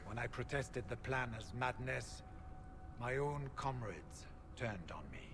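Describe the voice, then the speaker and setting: A man voicing a grave, weary veteran soldier in a fantasy game, speaking in a solemn, measured, formal way. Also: medium pitch, expressive, a bit bright, full character voice. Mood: grave, urgent. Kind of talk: dramatic video game dialogue. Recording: clearly noisy game audio with ambience.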